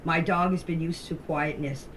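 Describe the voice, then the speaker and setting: A woman's voice: sits low, fairly even intonation, moderate pace. A woman recounting events informally, in a blunt, defensive and emphatic manner. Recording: faintly noisy voice-message recording.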